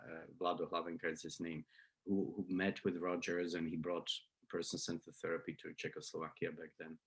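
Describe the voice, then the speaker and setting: Slovak-accented man, low husky voice. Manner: hesitant, many fillers and restarts. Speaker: psychology professor, guest. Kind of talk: conversational interview answers.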